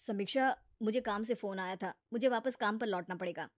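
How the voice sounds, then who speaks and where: A woman's voice: medium pitch, a little fast, no echo, clean studio sound, close to an everyday voice. A woman voicing a sensible, patient married man who gives earnest advice in a moral story. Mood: calm, accommodating. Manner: earnest, advising. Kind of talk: animated moral story character acting.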